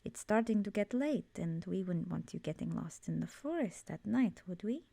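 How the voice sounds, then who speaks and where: Lady doing an old swamp witch seer for asmr, breathy n whispery, low voice, super dry close sound, cryptic but fond. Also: animated, warm tone, no echo. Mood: calm, matter-of-fact. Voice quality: breathy, often dropping into whisper. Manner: measured, cryptic, solemn, addressing listener as dear. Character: ancient cursed swamp witch seer, ominous yet fond. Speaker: ASMR roleplay performer. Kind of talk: ASMR roleplay, slow soft-spoken monologue.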